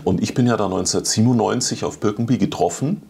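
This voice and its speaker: A man's voice: on the low side, fast, gravelly, dark tone, slightly rough. A middle-aged man, a neuroscientist guest, explaining at length in a studio interview, often adding tag questions like "ne?". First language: German. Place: small TV studio set.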